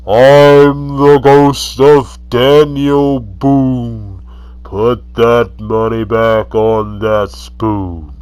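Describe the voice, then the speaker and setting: A man voicing a menacing ghost of a frontiersman, chanting slowly in a booming, drawn-out voice. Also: steady tone, a little mellow, smooth, dry recording. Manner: slow, drawn-out rhyming chant.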